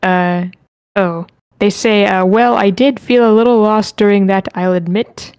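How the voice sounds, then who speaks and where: A woman moderates an online group meeting in a casual, unpolished way. Her voice sits at an average pitch and the sound is very dry. She reads messages out and drops small muttered asides to herself as she goes. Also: steady tone, unhurried, darkish tone, clean tone, dry recording. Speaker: host moderating a script feedback session.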